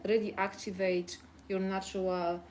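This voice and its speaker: A woman's voice: medium pitch, little pitch movement, unhurried, a little mellow, a little hiss or hum. A woman therapist explaining her work as a guest in a casual chat, enthusiastic and saying "you know" a lot.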